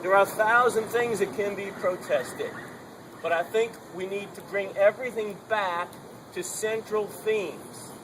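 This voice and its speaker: A man's voice: high voice, fairly even intonation, measured pace. A man with a strong, projecting voice giving an unamplified speech at an outdoor protest gathering, emphatic and urging, driving his points home through repetition. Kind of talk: unamplified open-mic speech. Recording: noisy outdoor recording.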